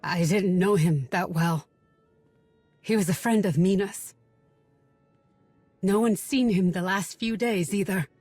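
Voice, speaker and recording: A woman's voice: mid-range voice, takes it slowly, pauses often, a little mellow, no room sound, soft background music. Blunt, guarded young woman. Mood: subdued grief. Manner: blunt, sardonic, distrustful. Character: guarded, bitter young local woman searching for missing sister. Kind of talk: video game cutscene acting.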